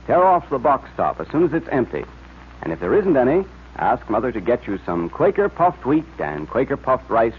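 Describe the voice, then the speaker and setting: Lively American radio announcer, tinny vintage sound. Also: male voice, medium pitch. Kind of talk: 1940s radio advertising and serial narration. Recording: scratchy old radio broadcast, narrow band, hiss.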